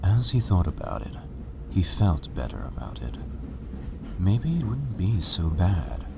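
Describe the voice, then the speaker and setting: A man's voice: deep voice, sing-song, a little slow, gravelly, noisy background. A man narrating a story as a scripted reading. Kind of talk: scripted fan fiction narration.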